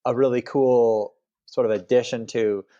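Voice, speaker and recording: A middle-aged man from Canada speaking as a guest author, relaxed and rambling, often restarting his phrases. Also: medium pitch, measured pace, highs cut off. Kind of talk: interview conversation.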